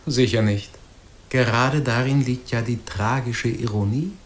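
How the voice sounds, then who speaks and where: An actor playing an earnest, learned doctor in a literary radio drama, reflective, eloquent and emphatic. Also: male voice, medium pitch, measured pace, close to an everyday voice. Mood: musing, earnest. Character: earnest, philosophical learned doctor, easily astonished. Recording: faint noise and ambience behind.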